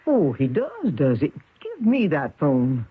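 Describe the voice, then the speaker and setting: An actress playing a folksy, forthright middle-aged widow from the American West in a radio drama, drawling homespun sayings and calling people honey. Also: female voice, low voice, huge pitch swings, slow pace, breathy edge, heavily acted. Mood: indignant, forceful. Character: folksy, forthright Western rancher's widow, chatty and motherly. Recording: tinny, noisy old radio broadcast recording.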